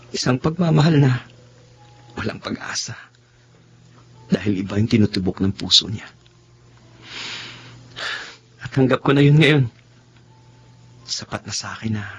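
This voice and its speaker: An actor with a Filipino accent playing a gentle, self-sacrificing young man in a radio drama. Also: fairly low pitch, dull audio, close to an everyday voice. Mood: resigned, sad. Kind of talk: Tagalog radio drama acting. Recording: faint background noise.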